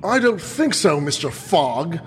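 Sneering radio-play villain, high and swoopy, slow, big echoey hall. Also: male voice, big pitch swings. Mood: defiant. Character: sneering, defiant male burglar villain in radio mystery. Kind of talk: parody 1940s radio acting. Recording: long hall reverb, faint noise.

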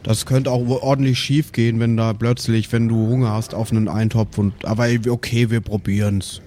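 A man's voice: fairly low pitch, flat delivery, normal speed, warm tone, slight background noise. A man talking casually as a player in a tabletop role-playing game.

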